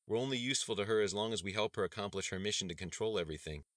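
A man performs a quick-witted young guy bluffing as a street radical in a novel. He talks in bold slang with a forceful, bright edge. The recording is very dry. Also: lowish voice, no echo, voice pushed up, lightly acted. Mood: passionate, persuasive. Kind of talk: acted audiobook dialogue.

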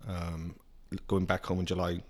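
A man's voice: low voice, completely flat delivery, moderate pace, darkish tone. A Welsh man from Wales, a car-dealer guest chatting casually on a talk show, with frequent ums and restarts. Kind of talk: casual chat.

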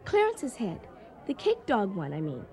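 An actress playing a nervous, chatty, scatterbrained party hostess in a comedic radio drama, rambling and guileless. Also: female voice, mid-range voice, wildly swooping pitch, moderate pace, a little mellow, some chatter behind. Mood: chatty, excited.

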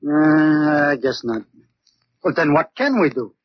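An actor playing a timid, doubting sidekick with hesitant, questioning speech in a 1940s radio drama. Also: male voice, a little high, lively intonation, a little slow. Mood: nervous, hesitant. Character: timid, doubting male sidekick nicknamed Professor. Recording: muffled old 1940s radio recording.

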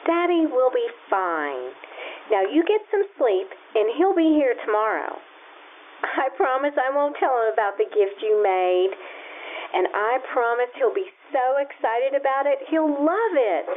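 Tinny noisy old radio play, lady acting a loving tired mom and wife, voice a bit high. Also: expressive, unhurried. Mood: soothing. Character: loving, weary wife and mother, pleading. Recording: tinny phone-like band, noisy radio sound.

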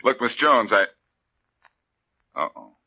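A man's voice: medium pitch, big pitch swings, takes it slowly. An actor playing a wisecracking male detective who questions people with dry sarcastic quips, in 1940s radio acting. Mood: probing. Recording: tinny lo-fi old radio recording with faint hiss.

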